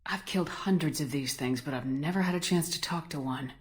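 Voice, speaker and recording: An actress playing a decisive, resolute spaceship commander in a video game. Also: female voice, low-pitched, fairly quick, natural voice. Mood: firm.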